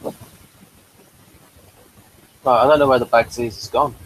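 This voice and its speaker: A man's voice: mid-range voice, steady tone, rapid-fire, slight background noise, rough recording. A man hosting a casual chat reviewing a TV episode, easygoing and a bit hesitant. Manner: easygoing, chatty, a little hesitant. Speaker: podcast host.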